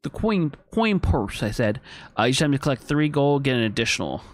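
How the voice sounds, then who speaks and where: Man, game streamer, casual running commentary to viewers, mid pitch, dry close mic. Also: sing-song, fairly quick, no echo. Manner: thinks aloud, chats to viewers, reads on-screen text. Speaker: gaming streamer. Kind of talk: casual let's-play commentary.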